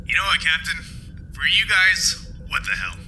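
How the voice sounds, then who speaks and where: Casting note: a man doing a loud, offhand alien mining boss, pitched up and bouncing around, with music underneath. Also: very animated, moderate pace, low-quality recording. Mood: blunt.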